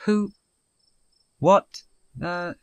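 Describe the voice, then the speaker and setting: A man's voice: sits high, fairly even intonation, extremely slow, a bit bright, clean tone, no room sound, full character voice. A man acting a nervous but cheeky young college student in an audio drama. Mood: startled, unnerved.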